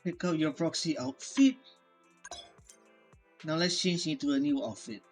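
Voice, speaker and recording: A man's voice: fairly high pitch, a little slow. A man doing playthrough commentary as a gaming host, reacting and reading game text aloud. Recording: game music behind the voice.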